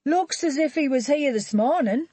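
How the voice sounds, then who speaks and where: A woman voicing a brisk, practical male detective who speaks matter-of-factly. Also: sits high, lively intonation, dark tone, no echo, voice pushed up.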